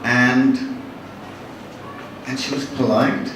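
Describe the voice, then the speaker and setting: Man, novelist, halting and wry; live talk, distant mic, heavy hall echo. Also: medium pitch, flat delivery. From born in Bangladesh, raised in London. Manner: wry, chatty, halting with frequent restarts. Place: large hall with audience. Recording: echoey hall from far off, noisy.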